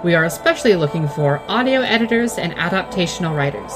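Female credits announcer, very low pitch, scripted and careful read, clear music bed underneath. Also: expressive, fast talker. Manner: careful spelling-out of names.

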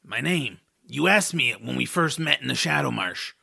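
A man voicing a gruff, proud, ugly troll with a growling, gravelly voice in an audiobook drama. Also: mid-range voice, animated, normal speed, bright, forceful tone, exaggerated voice. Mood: gruffly fond. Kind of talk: audiobook drama character acting.

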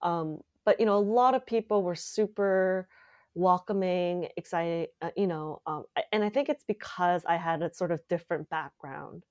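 A young woman from Canada talks as an interview guest, her voice sitting a little low. She speaks loosely, padding her sentences with fillers as she goes. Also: normal speed, a little mellow, dull audio. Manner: casual, many filler likes and ums. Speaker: playwright guest. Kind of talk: conversational interview.

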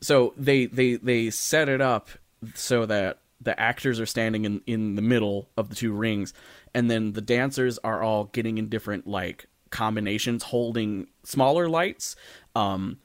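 A man's voice: fairly low pitch, a little slow, no room sound, studio quality. A man co-hosting a podcast, casually describing stage shows while searching for words with stammered restarts. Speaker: podcast co-host.

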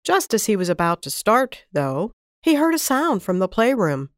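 A woman reading a classic children's story aloud in an expressive, warm storytelling manner on a close studio mic. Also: mid-range voice, normal speed, dry recording.

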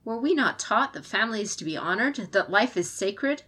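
A woman reading the lines of an indignant, passionate female advisor in an audiobook, rising in loudness as she argues. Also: mid-range voice, expressive, a little fast, slightly rough, pitched above the performer's own voice. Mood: impassioned.

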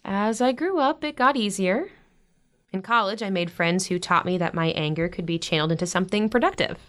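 A woman playing a warm, gently teasing middle-aged mother in a naturalistic audio drama, giving earnest advice. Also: mid-range voice, fairly quick, lightly acted. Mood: earnest, reassuring.

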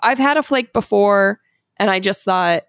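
A woman's voice: mid-range voice, a little slow, vocal fry, a bit bright, clean tone, dry recording, studio quality. A woman co-hosting a casual food-tasting chat, telling stories and laughing often. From US Bay Area. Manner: chatty storyteller, laughs often. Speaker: co-host tasting candy.

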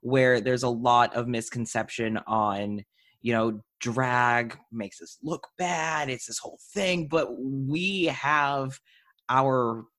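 A man's voice: medium pitch, takes it slowly, a bit bright. A man, chatting casually as a podcast host. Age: 20s. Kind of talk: casual interview chat.

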